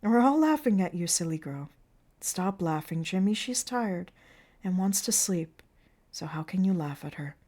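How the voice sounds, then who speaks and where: A woman's voice: fairly low pitch, animated, a little slow. A woman reading the part of a grieving male clown who comforts a dying girl in tender, soothing words. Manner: anguished, then hushed and soothing.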